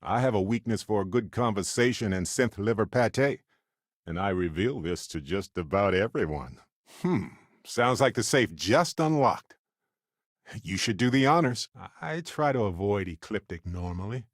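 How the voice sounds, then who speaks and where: An affable male space explorer from a video game in a lowish, dry studio sound. His pitch moves freely as he chats, wry and expressive, like someone who enjoys conversation. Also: moderate pace, no room sound. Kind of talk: video game character voice lines.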